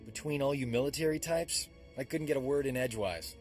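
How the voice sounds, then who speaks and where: A man's voice: medium pitch, fairly quick, close to an everyday voice. Man playing a breezy, cocky rogue medic. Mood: cocky, teasing. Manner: breezy, swaggering. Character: cocky, flirtatious roguish male army medic. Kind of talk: scripted video game acting. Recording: faint game music behind the voice.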